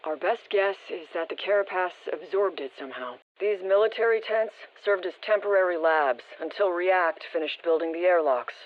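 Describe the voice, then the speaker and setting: A woman's voice: lowish voice, normal speed, hardly any pauses, no echo. Muffled radio voice of a military woman. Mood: brisk. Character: female field operative reporting intelligence over the radio. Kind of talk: scripted video game intel report. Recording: muffled, band-limited radio transmission.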